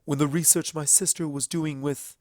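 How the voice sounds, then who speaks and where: A man voicing a regal dark mare princess in a storybook reading, solemn and earnest, with formal, measured speech. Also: on the high side, steady tone, no room sound, clean studio sound, voice pushed up, lightly acted. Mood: regretful.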